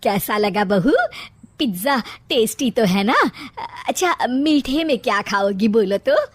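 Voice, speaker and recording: Woman acting a high-pitched, fussy mother-in-law. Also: lively intonation, unhurried, dry recording. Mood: fawning. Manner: fussy, over-solicitous. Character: excitable middle-aged mother-in-law, fearful and fawning.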